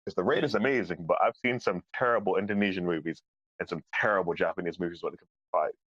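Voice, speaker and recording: A man with a deep, low voice gives his opinions in a casual podcast discussion. The audio is dull and lo-fi, like a voice chat. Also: fast, fairly bright tone, breathy edge, no room sound. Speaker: podcast panelist.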